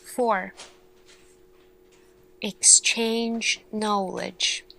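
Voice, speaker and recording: Very slow, bright-voiced woman in ASMR roleplay. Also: medium pitch, fairly even intonation, vocal fry, a little hiss or hum, rough recording. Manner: slow, deliberate, pausing, questioning delivery. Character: earnest, thoughtful female lead scientist addressing her team.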